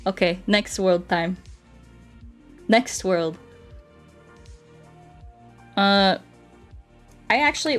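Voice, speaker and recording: Female game streamer, unhurried delivery, mid-range voice, playful repetition, chatting to viewers over faint music. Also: medium pitch. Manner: playful, repeats words and phrases. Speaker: game livestream host. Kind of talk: casual livestream game commentary, chatting to viewers.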